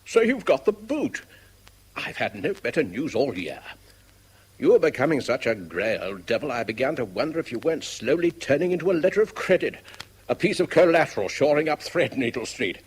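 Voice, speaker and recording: An actor playing a breezy, teasing, carefree friend, theatrically reading out a letter in a 1970s radio drama. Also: male voice, mid-range voice, very animated, normal speed, breathy edge, rough recording. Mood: jovial, teasing. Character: jovial, teasing old male friend, carefree bon vivant.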